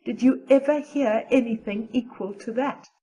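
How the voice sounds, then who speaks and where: A woman's voice: fairly high pitch, normal speed, dark tone, close to an everyday voice, poor audio. A woman playing a practical, chatty, loyal teenage girl with a matter-of-fact, gossipy manner in an audio drama. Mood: chatty, pleased.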